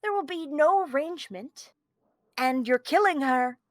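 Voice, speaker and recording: A conceited criminal mastermind in a theatrical read. The voice sits high and swings widely in pitch, arguing in a pompous, wordy way. The sound is dry and close. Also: male voice, lively intonation, a little slow, dry recording. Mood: menacing, smug. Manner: pompous, verbose, argumentative. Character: conceited, scheming criminal mastermind holding a hostage. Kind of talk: theatrical table-read performance.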